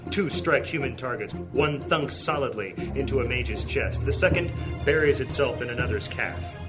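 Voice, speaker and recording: Male narrator, medium pitch, dramatic scripted fantasy storytelling with music underneath. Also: animated, moderate pace.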